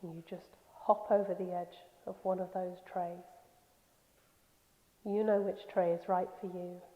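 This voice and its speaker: A woman's voice: lowish voice, level tone. Echoey woman leading a guided meditation. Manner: soothing, slow, gentle delivery with pauses. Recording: echoey long reverb, slightly dull sound.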